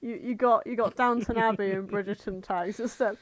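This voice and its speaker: A woman's voice: medium pitch, steady tone, brisk, over a noisy crowd, rough recording. A woman co-hosting a podcast in casual comedic chat, with an irreverent, jokey and sometimes sweary manner. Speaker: podcast co-host.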